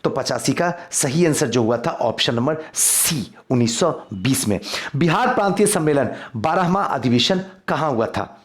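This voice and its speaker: Animated man teaching. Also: medium pitch, moderate pace. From India. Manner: instructive, repeats set phrases, addresses students directly. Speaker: exam-coaching history teacher. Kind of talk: quiz lesson, reading questions and giving answers.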